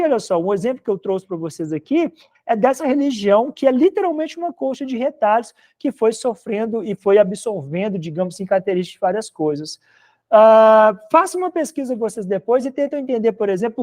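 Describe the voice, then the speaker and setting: A man's voice: sits high, a little mellow. A man from Minas Gerais with a mineiro accent, lecturing a philosophy class in a loose, conversational way full of tag questions. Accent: Minas Gerais Portuguese. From Minas Gerais, Brazil. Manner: rambling, conversational, frequent "né?" tags. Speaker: philosophy teacher. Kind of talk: recorded online class lecture.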